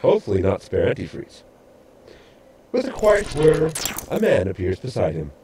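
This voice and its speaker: Droll space-comedy narrator , male actor. Theatrical, wry, extremely varied pitch with plenty of vocal fry. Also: huge pitch swings, moderate pace, background noise. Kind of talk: scripted audio drama narration.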